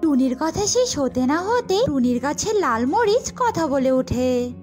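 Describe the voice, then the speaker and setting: A woman's voice: sits high, sing-song, a little slow, darkish tone. A woman narrating a scripted children's cartoon story, with music behind her.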